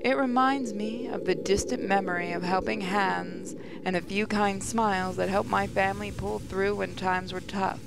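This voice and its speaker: A woman's voice: medium pitch, steady tone, moderate pace, vocal fry, few pauses, noisy background. A woman gaming host reading visual novel narration aloud, stumbling over the odd word as she goes. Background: prominent music.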